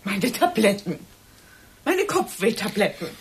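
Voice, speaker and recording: An actress playing a desperate, cornered woman in a German radio drama, pleading in halting, agitated standard German. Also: medium pitch, sing-song, husky, full character voice. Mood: cornered, defensive. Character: desperate, cornered woman defending herself against murder suspicion.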